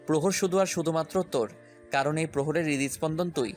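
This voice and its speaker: A woman's voice: deep voice, fairly quick, darkish tone, soft background music, voice pushed down, natural voice. A woman voicing a teasing, possessive young man in love, with playful, smug and tender delivery in an audio love story.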